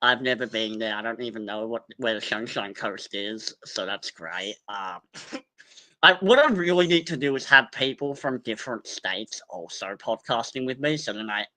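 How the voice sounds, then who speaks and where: Chatty young Australian guy, bright voice. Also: lowish voice, lively intonation, normal speed, low-quality recording. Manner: chatty, swears casually, laughs at himself. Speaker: podcast host. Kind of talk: casual commentary reading cast bios.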